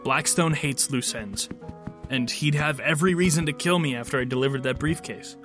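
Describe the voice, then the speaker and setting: A man's voice: on the high side, moderate pace, fairly bright tone, soft background music, close to an everyday voice. An actor playing a bitter, sarcastic, foul-mouthed henchman of a ruthless boss in an audio drama. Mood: wry, sarcastic. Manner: sardonic, profane.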